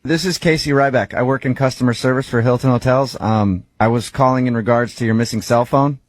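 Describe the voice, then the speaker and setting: A man's voice: mid-range voice, fairly even intonation, fairly quick, no room sound. An American man, a radio host making a prank call, talking casually as if he were a customer service agent. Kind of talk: casual phone chat, posing as customer service.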